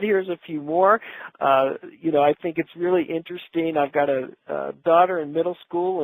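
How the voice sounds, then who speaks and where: A man's voice: fairly high pitch, no room sound, phone-quality sound. A middle-aged man presenting a business webinar in an informal, conversational and instructive way, speaking directly to his audience.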